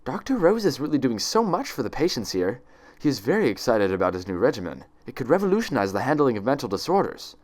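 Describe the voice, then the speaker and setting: A man plays a female pony nurse from a storybook. The voice is pitched up and swings widely, syrupy and condescending, with icy authority under the cheer. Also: big pitch swings, fast talker, a little mellow, lightly acted. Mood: sweetly eager.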